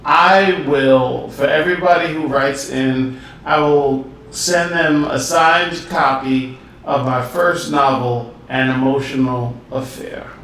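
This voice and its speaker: Slow, laid-back man in an echoey room. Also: medium pitch, fairly even intonation, fairly bright tone. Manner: laid-back, drawn-out, playful. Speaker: podcast host. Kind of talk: casual chat. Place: home studio room. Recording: echoey room from far off with faint hiss.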